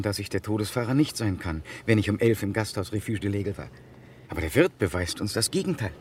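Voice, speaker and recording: A man's voice: lowish voice, slight background noise, natural voice. An actor playing an anxious, doubting man nearing forty in a radio crime drama, with a brooding, uneasy delivery.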